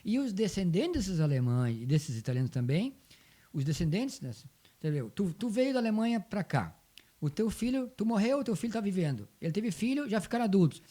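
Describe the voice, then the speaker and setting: A man's voice: sits high, big pitch swings, a little fast, dark tone. A man with a southern Brazilian accent from Rio Grande do Sul, telling stories conversationally as an interview guest and repeating phrases for emphasis. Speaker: interview guest, folk dance group coordinator. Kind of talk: conversational storytelling.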